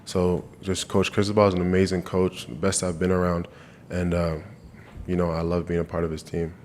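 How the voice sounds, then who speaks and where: Low, flat-voiced young man from Canada. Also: fairly quick, a little hiss or hum. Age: 20s. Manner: earnest, repeats and restarts phrases. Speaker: college football player. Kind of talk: press conference answers. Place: media day press room.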